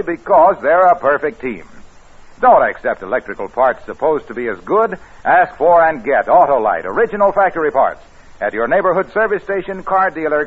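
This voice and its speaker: A man announcing in scripted 1940s radio style, delivering sponsor pitches and credits with a husky voice. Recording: very muffled old radio broadcast.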